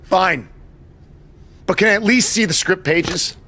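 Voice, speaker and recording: An actor playing a vain, easily offended Hollywood star in a game cutscene, haughty, exasperated and emphatic. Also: male voice, high-pitched, unhurried, sharp tone, no echo, heavily acted. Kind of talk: comedic video game cutscene acting.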